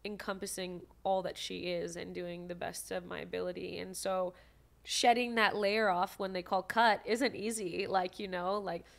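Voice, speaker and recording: A young adult woman from Maui, an actress guest telling stories in a casual, animated podcast chat. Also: lowish voice, gravelly. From Maui, Hawaii. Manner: animated, quick storytelling with restarts.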